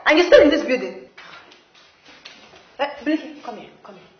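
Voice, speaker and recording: Bossy Nigerian, animated high voice. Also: female voice, moderate pace, far from the mic. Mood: alarmed, scolding. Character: bossy, suspicious woman at a clinic. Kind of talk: acted TV comedy-drama dialogue. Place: tiled clinic reception hall. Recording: noisy, muffled, echoey hall.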